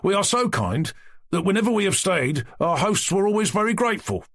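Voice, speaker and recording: A man reading aloud voices a young male servant who talks gently but boasts about his master. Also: high-pitched, sing-song, a little fast, pitched above the performer's own voice, exaggerated voice. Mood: eager. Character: young servant man, cheerful and self-important.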